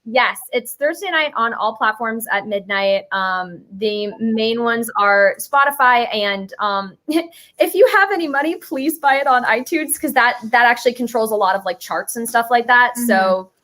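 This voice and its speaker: Playful woman, fairly high voice. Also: expressive, normal speed, pushed and bright. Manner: playful, jokey, informal. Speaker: guest promoting a musical album. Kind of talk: casual chat.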